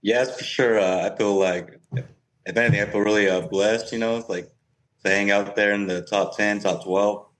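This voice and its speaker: A man's voice: lowish voice, fairly even intonation, normal speed, fairly bright tone. A young man speaking casually as an interview guest and pro player. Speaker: guest, professional cornhole player.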